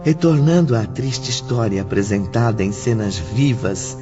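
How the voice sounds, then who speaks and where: A man's voice: lowish voice, expressive, measured pace. A man giving dramatic, scripted audiobook narration as the narrator of a story. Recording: muffled lo-fi audio, loud music behind.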